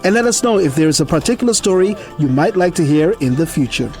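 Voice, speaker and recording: A man's voice: a little high, animated, fast talker, a little mellow. A man reading a scripted introduction as the presenter of an audio story series. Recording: faint music behind.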